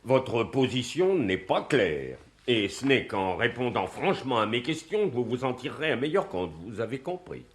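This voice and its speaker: A stern police commissioner, played by an actor in a French mystery drama. His medium-pitched male voice is brusque and authoritative, sceptical and unwilling to bend. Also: big pitch swings, normal speed, hardly any pauses, close to an everyday voice. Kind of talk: French radio mystery play acting.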